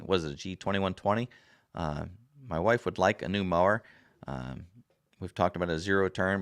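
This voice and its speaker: Host, male, raspy from a recent sore throat, very low voice, dry close sound, folksy rambling chat at a desk. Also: fairly bright tone, dry recording. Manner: rambling and folksy, many fillers. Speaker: livestream host. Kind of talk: casual chat reading viewer comments. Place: home desk. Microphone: desk camera.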